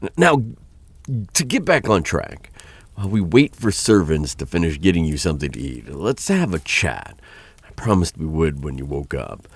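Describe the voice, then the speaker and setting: A man playing a conflicted vampire lord in an ASMR roleplay, aloof yet courteous and softening, with a hesitant, stammering, musing delivery. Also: sing-song, dry recording, full character voice. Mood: flustered. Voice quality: very deep, gravelly, husky voice. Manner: hesitant, stammering, musing with sighs and trailing pauses. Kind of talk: ASMR roleplay audio drama acting.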